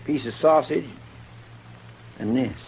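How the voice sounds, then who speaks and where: An American actor playing an eager, folksy, dutiful deputy in a 1950s radio western. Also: male voice, mid-range voice, slow pace. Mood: matter-of-fact. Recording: noisy old 1950s radio broadcast.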